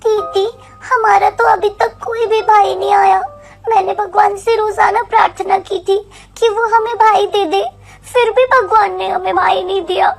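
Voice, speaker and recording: A woman's voice: very high-pitched, unhurried, vocal fry, faint music behind, pitched above the performer's own voice, exaggerated voice. A woman voicing an innocent eight-year-old little sister in a story, with childlike, earnest questions. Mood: disappointed.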